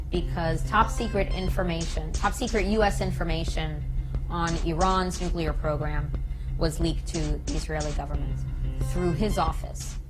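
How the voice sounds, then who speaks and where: A woman's voice: on the low side, rough recording. A woman with an American accent giving emphatic political commentary in an interview, often posing rhetorical questions. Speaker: interviewee, peace activist speaker.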